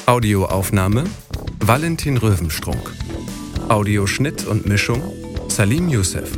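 Low-voiced male announcer over loud guitar music. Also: very animated, slow. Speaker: announcer reading closing credits. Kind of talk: scripted credits announcement.

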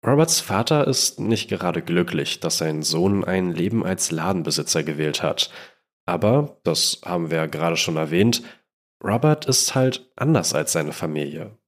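A man's voice: low voice, expressive, normal speed. A man co-hosts a true-crime podcast, narrating from a script in a conversational storytelling manner. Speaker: podcast co-host.

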